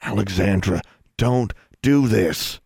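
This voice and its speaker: A man's voice: on the low side, slow pace, creaky, darkish tone, breathy edge, dry recording, studio quality, exaggerated voice. Man playing a growling, hot-tempered young wizard brother. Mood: strained, pleading. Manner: growling, snapping, sighing through clenched teeth.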